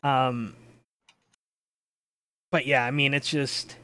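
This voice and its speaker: Guy hosting a tabletop game chat, storyteller, mid pitch voice, kinda halting and informal. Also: level tone, sharp tone, clear, resonant tone, no echo. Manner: halting, informal, swears casually. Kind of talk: casual chat about a tabletop role-playing campaign.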